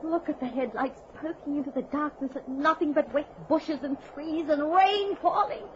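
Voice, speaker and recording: Actress playing a high-pitched, terrified radio drama wife. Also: female voice, normal speed, natural voice. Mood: terrified, pleading. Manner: fearful, pleading, jumpy. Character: terrified, anxious wife stranded on a lonely road. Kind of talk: old-time radio drama acting. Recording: noisy, muffled vintage radio drama recording.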